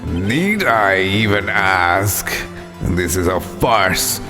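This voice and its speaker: Cold, haughty game villain, acted by a man, very low, slow, creaky, music behind. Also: lively intonation, full character voice. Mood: menacing. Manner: slow, haughty, drawn-out delivery. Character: cold, arrogant male prosecutor, menacing and disdainful. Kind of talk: voiced playthrough of a courtroom game.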